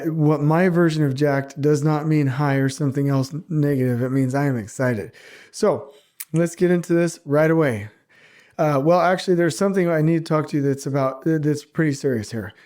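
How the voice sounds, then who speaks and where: A man's voice: fairly high pitch, warm tone. A man hosting his own podcast, talking casually with a stuffy nose from a cold, earnest and a little rambling. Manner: rambling, earnest, self-mocking. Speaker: podcast host. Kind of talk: casual solo podcast monologue.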